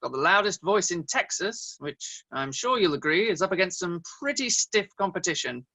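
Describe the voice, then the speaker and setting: Woman as a bright, wry sleuth. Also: on the low side, animated, normal speed, full character voice. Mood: cheeky, polite. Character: cool, sardonic sleuthing narrator, assistant to tycoon. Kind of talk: scripted narration in comedy radio play.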